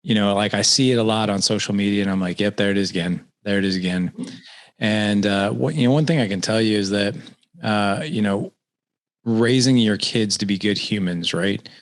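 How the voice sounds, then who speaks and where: A man's voice: on the low side, moderate pace. A man in his forties hosting a podcast interview, chatting casually and energetically, often repeating words. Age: 40s. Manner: energetic, repeats words, asks leading questions. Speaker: podcast host.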